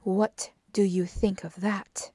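Woman voicing a deliberate, methodical gentleman detective. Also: mid-range voice, creaky, no echo. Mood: excited. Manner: deliberate, formal, exclamatory phrasing. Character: precise, methodical male detective, courteous and self-assured. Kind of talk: audiobook character dialogue.

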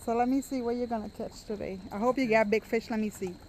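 A woman's voice: fairly high pitch, steady tone, quick pace, darkish tone, low-quality recording. A woman chatting casually and eagerly as she films a travel vlog, often breaking into exclamations. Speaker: travel vlogger. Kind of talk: casual chat while filming. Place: outdoors at a seaside beach and rocks. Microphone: handheld camera held by the speaker. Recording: outdoor noise, lapping water.

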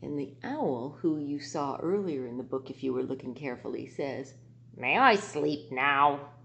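A middle-aged woman reading aloud to children in an expressive storytelling manner at home in a living room. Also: very deep voice, gravelly. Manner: slow, expressive, animated.

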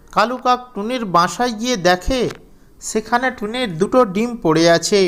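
A man with a very high-pitched, smooth voice. He narrates a scripted tale for children, with clean, resonant tone. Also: a bit bright.

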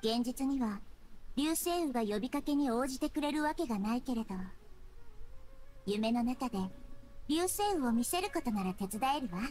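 A woman voicing a kind, wise childlike goddess in a Japanese anime game, speaking in a soft, composed, explanatory manner. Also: high-pitched, expressive, moderate pace, slight background noise. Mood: helpful. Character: gentle, wise childlike girl deity, calm and kind.

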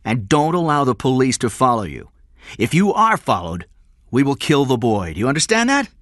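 A man's voice: medium pitch, big pitch swings, moderate pace, a bit bright, no echo, clean studio sound, voice pushed up. A man voicing a cold male kidnapper in an audiobook, threatening and commanding in clipped phrases. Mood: cold, menacing. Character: cold, menacing male kidnapper giving orders.

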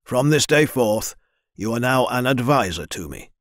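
A man voicing a stern, powerful lord who speaks in a measured, formal way. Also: mid-range voice, big pitch swings, fairly bright tone, no room sound, studio quality.

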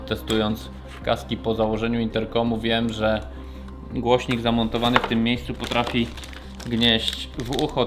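A man gives a casual hands-on tutorial as a technician, explaining step by step in a plain, practical way. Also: mid-range voice. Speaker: motorcycle shop technician demonstrating installation.

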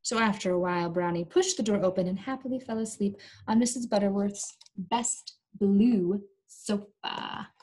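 A young woman reading a storybook aloud to small children in an animated, coaxing way, pausing to ask them questions. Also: mid-range voice, husky. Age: young adult. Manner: animated, coaxing, exaggerated delivery for young listeners. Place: small indoor room.

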